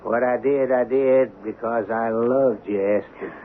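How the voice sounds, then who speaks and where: An actor playing a frightened, guilt-ridden middle-aged husband, pleading and agitated, in old-time radio drama style. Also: male voice, mid-range voice, steady tone, a little slow, natural voice. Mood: anguished, bitter. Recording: muffled, hissy vintage radio recording.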